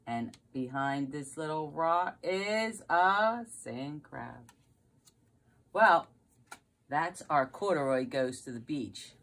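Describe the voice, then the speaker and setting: A woman's voice: sits low, lively intonation, slow pace, a bit bright. A middle-aged woman reading a picture book aloud to young children like a preschool teacher, with questions and exclamations. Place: carpeted basement room at home, indoors.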